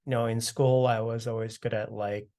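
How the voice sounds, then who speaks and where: A man in his thirties from South Korea, answering oral history questions over a video call, relaxed and full of "uh" and "you know". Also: medium pitch, normal speed. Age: 30s. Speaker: interviewee.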